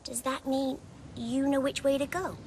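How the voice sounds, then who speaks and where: A woman's voice: high voice, little pitch movement, normal speed, a little mellow, a little hiss or hum. A female performer voicing a small, earnest peasant girl in a fantasy game, naive and simply spoken. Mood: innocent, matter-of-fact. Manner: naive, simple rustic phrasing.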